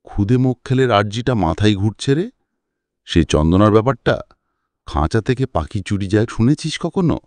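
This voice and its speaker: A man's voice: on the low side, animated, moderate pace, a little mellow, no echo, lightly acted. A man voicing a shrewd, kindly, thoughtful private detective who reasons aloud in an audio story. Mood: pensive.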